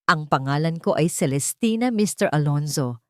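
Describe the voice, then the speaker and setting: A woman with a Filipino accent voicing a cold, powerful, vengeful rich young woman, sweet but sharp, deliberate and sarcastic. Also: deep voice, lively intonation, no echo, studio quality.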